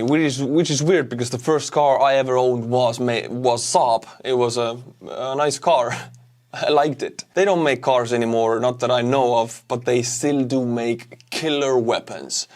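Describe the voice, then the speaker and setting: A man's voice: medium pitch, a little mellow. A man from Estonia with an Estonian accent, hosting casual news commentary in an informal, conversational way with wry asides. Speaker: YouTube war-news host.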